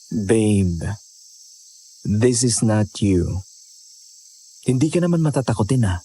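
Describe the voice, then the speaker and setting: An actor as a young male hiker in a horror drama, practical and soothing. His husky voice moves around a lot in pitch and. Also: lively intonation, takes it slowly, warm tone, full character voice. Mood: reassuring. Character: young man hiker, reassuring practical boyfriend. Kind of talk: horror audio drama acting.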